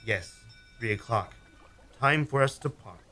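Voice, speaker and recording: An actor playing a cold, quiet killer who taunts his victim in a community radio play. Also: mid-range voice, lively intonation, unhurried. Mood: calm menace. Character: cold, sly male killer, calm and menacing. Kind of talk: amateur radio drama acting.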